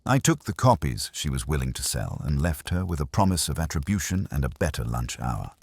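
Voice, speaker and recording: A man's voice: very deep voice, sing-song, fairly quick, creaky, a little mellow, husky, no room sound. A man narrating a first-person detective story in a wry, hard-boiled manner. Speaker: audiobook narrator.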